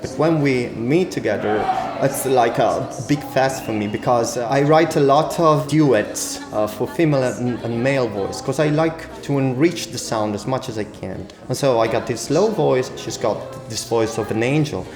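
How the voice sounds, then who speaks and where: A man's voice: medium pitch, normal speed, hardly any pauses. Guy musician doing a casual interview, roomy sound, music in background. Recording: echoey room, music behind.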